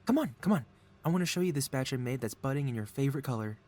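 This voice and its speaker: A man's voice: mid-range voice, expressive, rapid-fire, darkish tone, close to an everyday voice. A man playing a gleeful, lovesick supervillain mad scientist in an audio roleplay, melodramatic and wheedling with an air of feigned innocence. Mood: gleeful, doting. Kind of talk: ASMR audio roleplay, scripted character acting.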